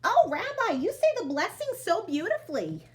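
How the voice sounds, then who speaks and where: A woman's voice: extremely high pitch, big pitch swings, normal speed, voice pushed up. A woman voicing a kindly, chatty old grandmother who fusses over and coaxes her guest in a storybook tale. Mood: kindly, fussing. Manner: fussing, coaxing, exclaiming.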